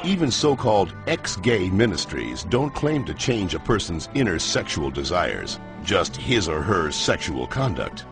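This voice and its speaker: A man playing a genial, wry science narrator in an educational cartoon, explaining in measured phrases. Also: sits low, very animated, full character voice. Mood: measured, authoritative. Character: genial male science narrator moderating a debate. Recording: music behind the voice.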